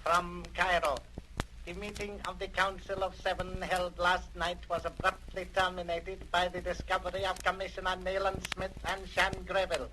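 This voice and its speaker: A man's voice: high-pitched, level tone, moderate pace, close to an everyday voice. An actor playing a dutiful male henchman in a 1930s radio drama, reporting to his master in formal, deferential, clipped phrases. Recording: noisy, crackly 1930s radio recording.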